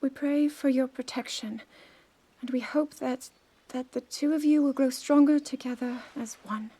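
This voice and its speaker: An actress playing an earnest young princess, speaking in a formal, measured way. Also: female voice, high-pitched, steady tone, mellow. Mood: solemn. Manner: formal, measured ceremonial speech. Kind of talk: video game cutscene acting.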